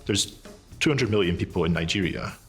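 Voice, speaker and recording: Fast-talking man narrating a documentary. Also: low-pitched, expressive, soft background music. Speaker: investigative journalist.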